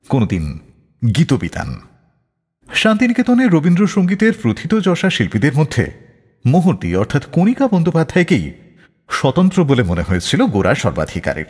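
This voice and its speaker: A man hosting a radio music show, narrating a scripted story in a measured, expressive way. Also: medium pitch, breathy edge. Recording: long studio reverb, faint music behind. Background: soft background music.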